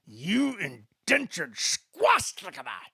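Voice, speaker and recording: A man's voice: high voice, wildly swooping pitch, takes it slowly, vocal fry, pushed and bright, husky, no echo, studio quality, pitched above the performer's own voice, heavily acted. A man voicing an angry, drunken, bossy male alien bully in a dramatic story reading. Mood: furious. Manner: belligerent, drunken.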